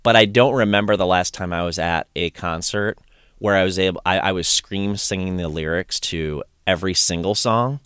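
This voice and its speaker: A man's voice: low voice, moderate pace, fairly bright tone, clean tone, no room sound, clean studio sound. A man hosting a podcast, chatting casually and enthusiastically with many restarts, his voice a bit strained from singing. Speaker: podcast host. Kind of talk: casual conversational recap.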